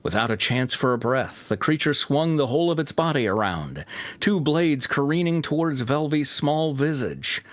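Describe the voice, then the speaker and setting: A man's voice: medium pitch, expressive, normal speed, no room sound. Dry, close-sounding man narrating a story. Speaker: fan fiction reader and narrator. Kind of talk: scripted story narration with joking asides.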